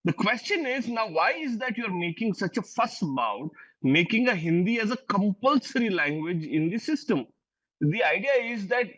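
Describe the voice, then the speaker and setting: A man's voice: high voice, fairly bright tone, highs cut off. A man whose first language is Urdu, arguing emphatically as a panelist in a heated TV debate and repeating words as he presses his point.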